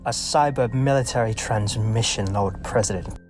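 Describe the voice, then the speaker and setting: An actor playing an urgent, dutiful military agent in a sci-fi audio drama, reporting in a clipped, formal way. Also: male voice, fairly low pitch, normal speed, music in the background, close to an everyday voice.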